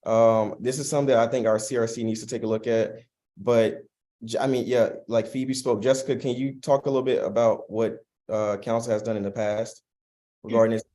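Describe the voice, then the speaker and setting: A man's voice: medium pitch, little pitch movement, fairly quick, warm tone. A man chairing a student council meeting, casual and restarting his sentences.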